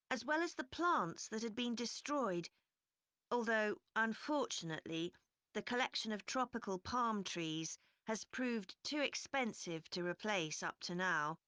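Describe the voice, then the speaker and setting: A woman playing a park representative giving a measured, scripted informational presentation to visitors. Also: mid-range voice, sharp tone, no room sound. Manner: measured, clearly explanatory. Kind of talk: scripted informational presentation, listening test recording.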